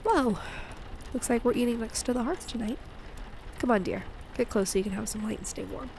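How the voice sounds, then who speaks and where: Woman acting affectionate wife, high crackly voice. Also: brisk, a little mellow, natural voice. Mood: caring. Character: affectionate, playful wife and mother sheltering a guest. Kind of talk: intimate audio roleplay storytelling.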